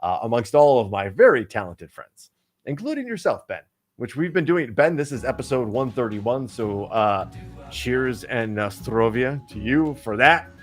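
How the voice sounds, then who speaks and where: A man's voice: mid-range voice, big pitch swings, moderate pace, faint music behind. A man co-hosting a casual podcast chat, rambling with many fillers and restarts. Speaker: co-host.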